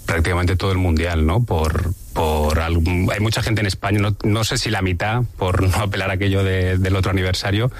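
A man's voice: low-pitched, steady tone, brisk, hardly any pauses. A man with an accent from Spain giving conversational commentary as a radio panelist. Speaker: journalist panelist.

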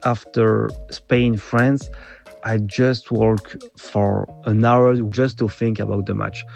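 A man's voice: fairly low pitch, level tone, slow pace, gravelly, darkish tone, faint music behind. A man from Belgium, a football fan, recalling a defeat in a subdued, reflective way in a recorded message.

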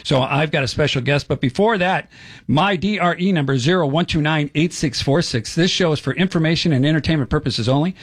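Gravelly, jokey middle-aged radio host. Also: male voice, medium pitch, dry recording. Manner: jokey, self-deprecating, chatty. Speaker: radio show host, real estate agent. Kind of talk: casual radio talk show.

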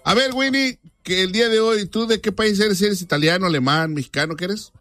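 A male radio host with a fairly high voice chats with callers and reads out dedications. He is playful, teasing and full of slang, and music plays softly behind him. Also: fairly quick, no room sound. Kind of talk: casual call-in show chat with dedications and plugs.

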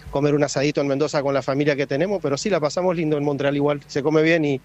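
A man's voice: on the high side, steady tone, racing, a little mellow, highs cut off. A man from Argentina with an Argentine accent, an enthusiastic football fan who boasts about his team. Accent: Argentine Spanish.

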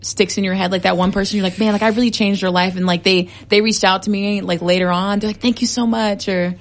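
A woman hosts a morning radio show from the studio. She sounds bubbly and enthusiastic as she interviews her guest. Her mid-pitched voice carries a gravelly, fry-laden edge. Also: fairly even intonation, fast talker. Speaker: radio host. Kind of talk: upbeat radio interview hosting.

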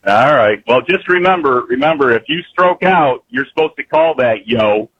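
A man's voice: mid-range voice, lively intonation, normal speed, breathy edge, dry recording, highs cut off. A man co-hosting a radio show, joking and wisecracking in casual banter. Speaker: radio host.

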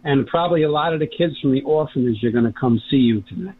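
A man's voice: medium pitch, quick pace, slightly rough. A man calling in to a radio show, plain and matter-of-fact. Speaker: caller. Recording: dry phone line.

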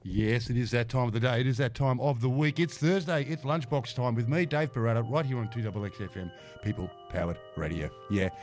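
A man hosting a community radio show, upbeat and chatty, his voice croaky from a cold. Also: medium pitch, a little fast, a little mellow. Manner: upbeat, chatty, repeats phrases. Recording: music bed behind the voice.

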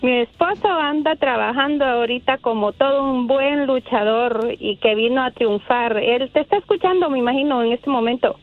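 A woman's voice: sits high, moderate pace, few pauses, no room sound, faint music behind. A woman calling in to a radio show, speaking in an earnest, heartfelt way. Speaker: caller. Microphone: phone. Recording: dry tinny phone line.

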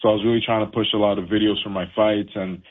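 Young man, from Haiti, boxer, relaxed, filler-heavy, lowish voice, phone-line sound. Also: fast talker. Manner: laid-back, many fillers and restarts. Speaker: professional boxer, guest. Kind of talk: casual interview.

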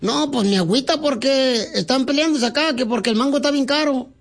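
A man plays a very high-voiced, silly Mexican guy on a prank phone call. He is naive and flirty, full of slangy, deadpan wordplay. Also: a little fast, gravelly, soft background music, full character voice. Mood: playful, flirty.

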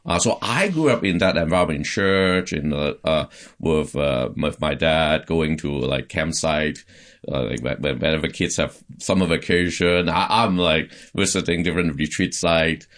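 Really deep voiced guy, pastor guest, lots of uhs and restarts. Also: a bit bright. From Hong Kong. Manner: halting, many fillers and restarts. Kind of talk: conversational interview.

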